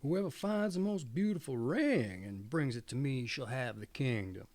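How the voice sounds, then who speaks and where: A man's voice: medium pitch, animated, normal speed, darkish tone, lightly acted. A man voicing a stately old king and father in a storybook reading. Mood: solemn decree.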